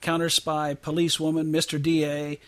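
A man with a slightly high voice hosts a conversational interview. He feels his way into his questions with halting, tentative phrasing. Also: monotone, a bit bright. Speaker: podcast interviewer, host.

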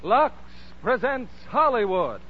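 A man's voice: extremely high pitch, sing-song, slow pace, heavy background noise. A man announcing a 1940s radio program in a formal, grand, emphatic style. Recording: tinny 1940s radio broadcast. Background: music.

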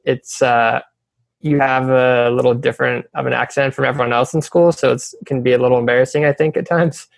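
A man from South Africa with an American accent, a podcast guest who talks hesitantly and fills pauses with "um" and "you know". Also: mid-range voice, fairly even intonation, vocal fry, no echo. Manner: hesitant, full of fillers. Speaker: podcast guest, company founder. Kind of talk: casual interview.

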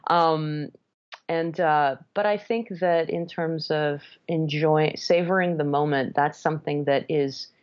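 A low-pitched woman raised in France speaks at a thoughtful, unhurried pace and often restarts her sentences. The sound is a little dull and muffled, like a lo-fi remote line. She comes across as a guest in a relaxed podcast interview. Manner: thoughtful, many restarts and self-corrections. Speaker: guest, jazz band leader.

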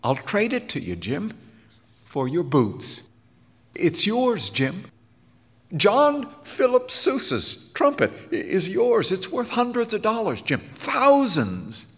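A man's voice: high-pitched, huge pitch swings, slow pace, breathy edge, voice pushed up, exaggerated voice. An earnest, emotional band teacher, played by a male storyteller, pleads in a voice that climbs and swoops. He speaks unhurriedly, and a long reverb trails each word. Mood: coaxing. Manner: impassioned, pleading.

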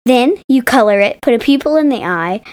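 A woman's voice: a little high, expressive, a little fast. A female podcast co-host chatting casually in a playful, contrary way. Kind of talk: casual chat.

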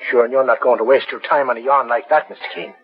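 Husky, scoffing sidekick. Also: male voice, medium pitch, steady tone, quick pace. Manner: scoffing, folksy. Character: skeptical, folksy Irish detective's sidekick, loyal and scoffing. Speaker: actor in a detective radio serial. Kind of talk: 1940s radio acting. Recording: muffled 1940s radio recording.

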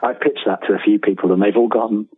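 A British man in middle age speaks as a chatty interview guest over a narrow, phone-like line. His voice is low and somewhat husky, and he tells stories in a self-deprecating way, stumbling into repeated words. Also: fairly even intonation, quick pace. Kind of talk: casual anecdotal interview. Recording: thin phone-like line, roomy echo.

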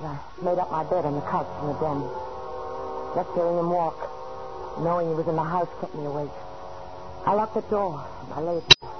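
An actress playing an anxious, pleading wife in danger in a 1940s radio thriller. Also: female voice, on the low side, natural voice. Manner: anxious, dramatic. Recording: very noisy, dull old radio broadcast.

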